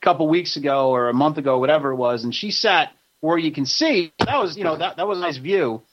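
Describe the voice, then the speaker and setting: A podcast host in his late thirties talks in a loose, rambling way, full of swearing and quick laughter. His voice sits a touch high for a man. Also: lively intonation, fairly bright tone, dull audio. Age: 30s. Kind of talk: casual podcast chat. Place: studio.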